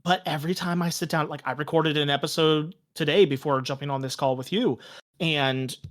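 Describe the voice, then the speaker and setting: A man speaking casually as a podcast guest, earnest and reflective, with halting phrasing. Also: fairly high pitch, fairly even intonation, a bit bright. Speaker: podcast guest, tabletop game master and show creator. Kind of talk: casual conversational interview.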